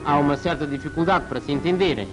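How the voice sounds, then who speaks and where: A man with a slightly high voice narrates a 1940s wartime newsreel. He delivers the script formally, in a declamatory announcer's style. Music plays clearly behind him on the old film soundtrack. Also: fast talker.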